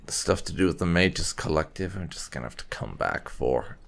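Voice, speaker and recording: A man's voice: a bass voice, animated, fairly quick, gravelly, a bit bright, in a whisper. A man giving casual, wry commentary as he plays through a video game. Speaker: gaming video host and player.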